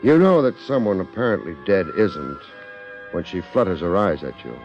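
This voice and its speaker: An actor playing a wry, hard-boiled American detective with deadpan wisecracks, in 1950s radio drama style. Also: male voice, low-pitched, normal speed, soft background music. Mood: grave narration. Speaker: detective and narrator. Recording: dull, band-limited 1950s radio recording.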